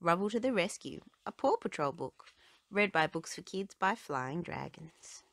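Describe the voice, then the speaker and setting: A woman narrating a children's storybook aloud in an expressive way, paced for young listeners. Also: on the low side, moderate pace, gravelly, no room sound.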